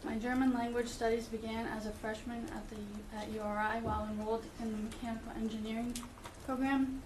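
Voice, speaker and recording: A middle-aged woman reading a letter aloud at a school meeting, steady and careful, with occasional fillers. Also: fairly high pitch, a little fast. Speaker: parent speaking at public comment. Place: meeting room with audience. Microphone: far room camera. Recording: echoey room, distant camera, background noise.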